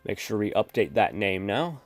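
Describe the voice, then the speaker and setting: A man calmly explaining code step by step as the host of a screen-recorded programming tutorial. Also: fairly low pitch, normal speed, dry recording, faint music behind. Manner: steady explanatory, addresses viewers as folks.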